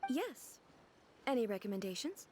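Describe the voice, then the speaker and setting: A woman's voice: on the high side, very animated, moderate pace. An actress playing a composed, literal-minded female ruler in a video game, speaking in formal, earnest, precise phrases. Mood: calm, reassuring. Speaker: game character. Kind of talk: voiced game dialogue. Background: game background music.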